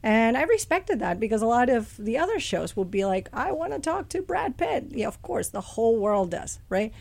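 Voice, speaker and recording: A woman's voice: on the high side, big pitch swings, moderate pace, gravelly, darkish tone. A woman radio host chatting casually, telling stories with enthusiasm.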